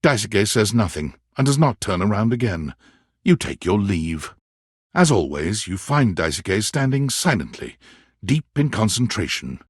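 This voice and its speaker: A man playing a wry, dramatic storyteller who narrates a romance video game in measured second person. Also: on the low side, big pitch swings, breathy edge, no room sound, clean studio sound, exaggerated voice.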